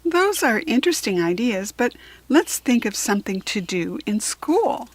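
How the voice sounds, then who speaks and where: A woman's voice: fairly high pitch, sing-song, measured pace. A woman reader voicing a kindly schoolteacher who can be stern, in a children's storybook. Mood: approving.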